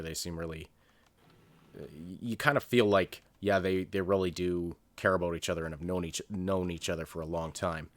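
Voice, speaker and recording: Low-voiced man chatting through a TV review. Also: fairly bright tone, dry recording. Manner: hesitant, rambling, thinking aloud. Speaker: co-host of a review show. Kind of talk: casual unscripted TV review chat.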